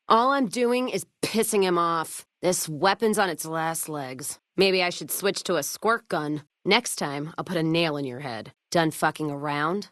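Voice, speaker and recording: A tough, hard-drinking American caravan woman from a frontier video game. Her voice sits low, recorded close and dry. She talks in folksy, sardonic slang and swears freely. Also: a bit bright, dry recording. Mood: frustrated, then menacing. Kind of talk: video game character voice lines.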